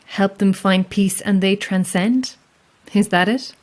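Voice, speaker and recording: An actress playing a guarded, sceptical lighthouse keeper in a horror audio drama, speaking in a measured, dry way. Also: female voice, mid-range voice, natural voice. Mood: wry, curious. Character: guarded, sceptical lone lighthouse keeper, woman, wryly amused.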